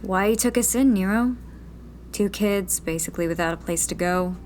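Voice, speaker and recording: A woman's voice: medium pitch, normal speed, a little mellow, faint music behind, natural voice. A woman playing a guarded young rebel in hiding, dry and hesitant, in a sci-fi audio drama. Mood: wry. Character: guarded, wry young female rebel radio host in hiding.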